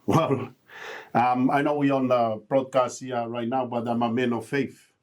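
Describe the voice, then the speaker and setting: A man's voice: mid-range voice, level tone, measured pace. A middle-aged man from Tonga, speaking earnestly as a podcast guest and motivational speaker, his English grammar uneven. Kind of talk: podcast interview.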